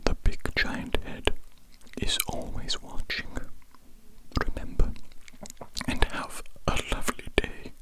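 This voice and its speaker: A performer puts on an ominous alien overlord for an ASMR roleplay. The whisper is breathy and formal. Phrases come slowly and deliberately, broken up like a scripted announcement. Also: lightly acted, poor audio. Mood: coolly insistent. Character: big giant head, ominous alien overlord delivering scripted sales message.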